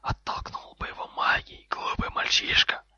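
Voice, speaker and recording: A man voicing an angry, haughty snake in a hissing whisper, sharp and commanding in an audiobook style. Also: normal speed, highs cut off. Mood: furious, scornful.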